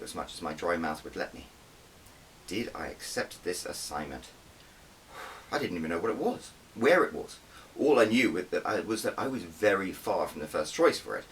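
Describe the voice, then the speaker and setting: A man narrates a first-person novel in a deep register. His delivery is scripted, dramatic and emphatic. The recording is slightly distant, with a light hiss underneath. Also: fairly bright tone. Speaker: author reading own audiobook.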